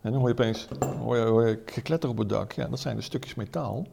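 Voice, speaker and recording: A man's voice: medium pitch, quick pace, creaky, noisy background. A man explaining things conversationally as an expert interviewee, with emphatic repetition and colloquial asides. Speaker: military historian, expert interviewee.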